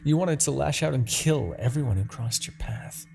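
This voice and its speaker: A man playing a haughty, seductive and menacing demon king in a fantasy audio roleplay, with a theatrical, taunting, drawn-out delivery. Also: medium pitch, gravelly, darkish tone, faint music behind, close to an everyday voice. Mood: persuasive, menacing. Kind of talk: scripted fantasy ASMR roleplay acting.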